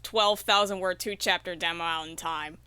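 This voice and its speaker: A woman speaking as a guest in a casual interview, animated and wry, poking fun at herself. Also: lowish voice, normal speed, smooth, no room sound, faint music behind. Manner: animated, wry, self-mocking. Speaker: podcast guest, indie game developer.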